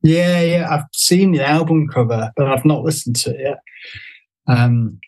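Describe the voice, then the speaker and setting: A man's voice: on the high side, fairly even intonation, measured pace, a little mellow, rough recording. A man chatting casually as an interview guest, hesitant and musing as he talks. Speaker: podcast guest, graphic designer. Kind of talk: casual chat.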